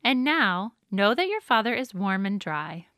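Woman doing a solemn, cryptic storybook elf, bright-voiced. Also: medium pitch, sing-song, no room sound, studio quality. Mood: solemn, bargaining. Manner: measured, cryptic, formal. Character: male elf, magical, terse, bargaining, solemn. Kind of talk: storybook character voice.

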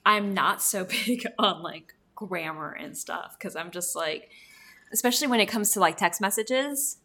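A woman whose first language is Spanish, co-hosting a library podcast in a relaxed chat full of fillers. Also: mid-range voice, a little slow. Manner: chatty, many fillers like "like" and "you know". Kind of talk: casual chat.

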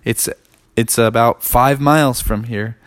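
A man's voice: medium pitch, steady tone, unhurried, natural voice. A man voicing laid-back, friendly moon frogs who love to party, speaking in easygoing slang in a children's story. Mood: helpful. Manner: mellow slang, calling everyone man and brother.

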